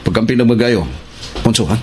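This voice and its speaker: A man's voice: medium pitch, a little fast, lightly acted. A man playing a menacing, commanding crime boss in a radio action drama. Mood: stern, threatening. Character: menacing male crime boss, threatening his underling. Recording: clearly noisy background.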